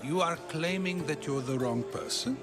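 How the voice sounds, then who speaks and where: An actor playing a probing, articulate ancient Athenian philosopher in a video game, musing and questioning. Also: male voice, on the high side, moderate pace, close to an everyday voice. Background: game music.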